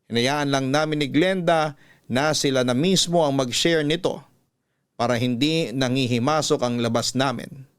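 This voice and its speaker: A man narrating a listener's letter as a radio storyteller, measured with dramatic pauses. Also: medium pitch, clean tone.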